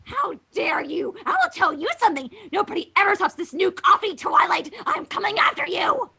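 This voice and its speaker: A woman's voice: very high-pitched, big pitch swings, moderate pace, creaky, bright, forceful tone, full character voice. A woman voicing a frantic, coffee-crazed young female cartoon unicorn, gushing and overexcited. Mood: outraged, manic.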